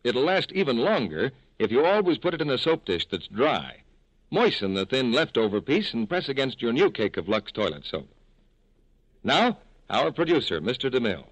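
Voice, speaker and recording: A very animated man delivers a 1940s radio sales pitch. He is polished and persuasive, with a medium-pitched voice and a dry, close sound. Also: normal speed, no room sound, low-quality recording. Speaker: radio announcer.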